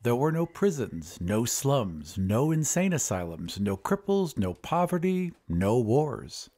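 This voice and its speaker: Expressive male storytelling narrator. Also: medium pitch, slow pace. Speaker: podcast host and narrator.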